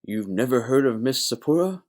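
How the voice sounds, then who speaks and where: A man's voice: mid-range voice, expressive, moderate pace, a little mellow, close to an everyday voice. Man acting a naive young bartender. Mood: earnest, gossipy. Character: naive, gossipy young male nightclub bartender. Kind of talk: noir audio play dialogue.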